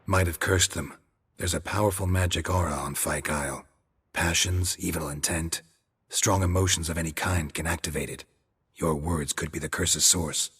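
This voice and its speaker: Gruff stoic monster hunter guy, super deep raspy voice, short clipped lines, fantasy game. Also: fairly even intonation, normal speed, fairly bright tone, exaggerated voice. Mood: probing, calm. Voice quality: gravelly, husky. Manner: terse, clipped, matter-of-fact. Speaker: player character in a fantasy video game. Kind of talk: video game voice acting.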